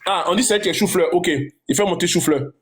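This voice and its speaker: High-voiced insistent male show host. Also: fast, slight background noise. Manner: theatrical, repeats questions insistently. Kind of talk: live-stream talk show hosting.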